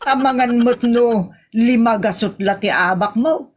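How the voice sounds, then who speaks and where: A woman's voice: fairly high pitch, a little slow. A woman playing a scornful wife who nags and chides her bragging husband in a comedy audio drama. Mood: scolding.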